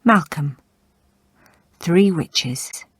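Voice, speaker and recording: A woman's voice: lowish voice, lively intonation, very slow. A woman reading a story aloud as a scripted read-along narrator.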